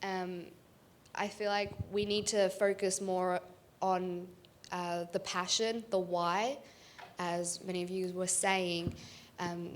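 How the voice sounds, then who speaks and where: A woman's voice: fairly low pitch, little pitch movement, slow pace, a little hiss or hum. A young Australian woman, a student on a discussion panel, speaking earnestly and thoughtfully into a handheld mic in a large auditorium. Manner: earnest, thoughtful, with many ums.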